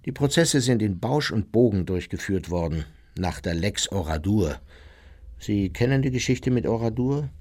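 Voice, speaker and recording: Radio play role: unrepentant war criminal played by a man, lowish pitch, blunt, self-justifying, set in long reverb. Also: expressive, moderate pace, darkish tone, lightly acted. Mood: matter-of-fact, self-justifying. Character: unrepentant male Nazi war criminal recounting his deeds, coldly self-justifying. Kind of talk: German radio play, interview testimony.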